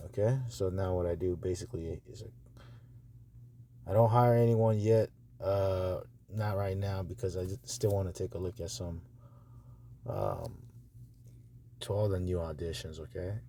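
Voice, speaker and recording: A man's voice: deep voice, lively intonation, unhurried, dark tone. A man giving casual commentary over a screen recording, thinking aloud in a hesitant mutter. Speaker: audiobook publisher reviewing narrator auditions. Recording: noisy phone screen recording.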